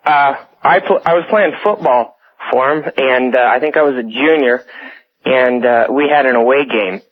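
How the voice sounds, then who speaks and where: A tinny telephone line carries a young American man calling a radio show. His voice is mid-range, and he tells his own story casually, with a halting, hesitant delivery. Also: mid-range voice. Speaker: phone-in caller.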